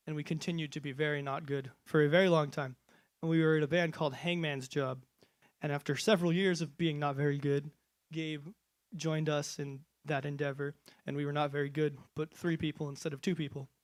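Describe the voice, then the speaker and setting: A nineteen-year-old man answering interview questions as a band member, chatty and self-deprecating. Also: a little high. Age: teens.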